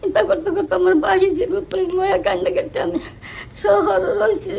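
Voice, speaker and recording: High-voiced older Bangladeshi village woman. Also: fairly even intonation, brisk, vocal fry, darkish tone. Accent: rural Bangladeshi dialect. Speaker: interviewed mother. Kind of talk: unscripted personal storytelling.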